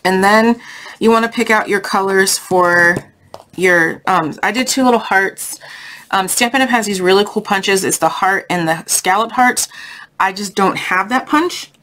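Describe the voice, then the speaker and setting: A woman's voice: mid-range voice, a little slow, a bit bright. A woman hosting a casual craft tutorial, chatty and thinking aloud, with a voice congested from a sinus infection. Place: indoors at a craft table.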